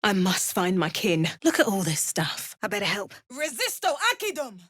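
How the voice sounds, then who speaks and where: A woman voicing a watchful, wry fantasy adventurer and spellcaster for a video game, in measured, dramatic delivery. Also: medium pitch, very animated, a bit bright, husky, no room sound, clean studio sound. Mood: incanting, intense. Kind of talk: scripted video game voice lines, exploration and combat barks.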